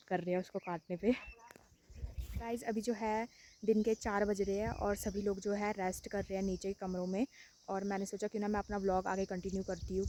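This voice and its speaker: A woman's voice: mid-range voice, warm tone, a little hiss or hum. A young woman narrating a casual village vlog, explaining things to viewers and repeating herself. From Uttarakhand, India. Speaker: village vlogger.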